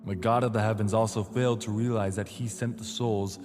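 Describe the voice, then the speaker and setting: Man as solemn legend-teller, lowish voice, measured fantasy audio drama narration, music behind. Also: fairly even intonation, lightly acted. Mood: solemn storytelling. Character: grave storyteller recounting an ancient legend. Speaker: storyteller.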